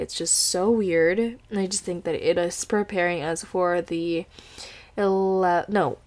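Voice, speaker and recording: A woman's voice: fairly low pitch, a little mellow. A woman in her twenties with an Indonesian accent, who speaks English as a second language. She hosts her podcast in a rambling, giggly casual chat with many restarts, and she sounds teary after crying. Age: 20s. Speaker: podcast host.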